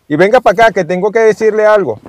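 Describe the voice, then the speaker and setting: An actor playing a bossy, jealous, folksy Venezuelan bar owner in a radio soap, talking in a blustery, colloquial way. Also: male voice, sits high, fast talker, lightly acted. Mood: affable. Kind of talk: radionovela acting.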